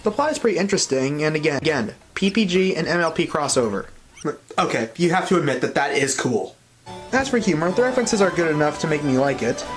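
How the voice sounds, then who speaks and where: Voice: medium pitch, lively intonation, fast talker, music in the background. A reviewer giving blunt, opinionated scripted commentary with a sardonic manner. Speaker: reviewer, host of an online review show.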